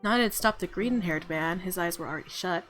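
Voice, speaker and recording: A woman's voice: lowish voice, a little fast, fairly bright tone. Playful woman reading a game over music. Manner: playful, gushing asides. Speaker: let's play host. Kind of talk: reading a visual novel aloud with casual reactions.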